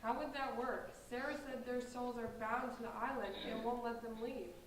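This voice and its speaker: A woman's voice: on the high side, steady tone, normal speed, close to an everyday voice. A woman playing a questioning young member of a group of friends in a staged reading. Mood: curious. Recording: very echoey, distant stage recording, faint noise.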